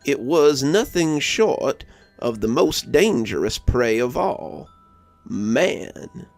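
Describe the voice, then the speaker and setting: Guy doing a wry old mountain grandpa, gravelly, folksy storybook yarn spinner, music behind. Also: medium pitch, expressive, slow pace, dry recording. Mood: wry, teasing.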